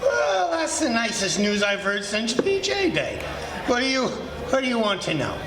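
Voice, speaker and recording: A man's voice: extremely high pitch, wildly swooping pitch, normal speed, heavily acted. A man playing a surly, groggy drinking sailor in a 1940s-style radio drama, grumbling and exaggerated. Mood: gleeful, scornful. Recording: roomy, echoey live stage sound.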